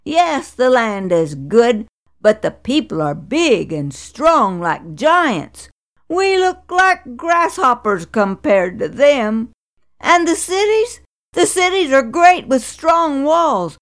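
A band of frightened men in a children's Bible storybook, panicky and pleading, their voices pitched up high and swinging wildly up and down, as a woman reads them out. The delivery is slow and drawn out. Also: very animated, full character voice. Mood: fearful. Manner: pleading, alarmed. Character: ten frightened male spies, panicky.

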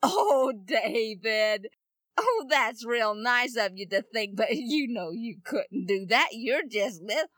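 A gentle, patronizing king in a storybook radio tale, as a woman puts him on. The voice stays bright and lively, close and dry. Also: on the high side, slow pace, no room sound. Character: kindly doubtful middle-aged king.

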